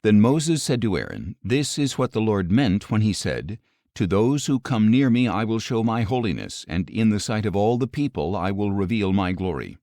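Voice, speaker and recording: Low-pitched man reading scripture, dry sound. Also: sing-song, normal speed, darkish tone, no echo. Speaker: audiobook narrator of scripture. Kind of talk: scripted Bible reading, measured narration.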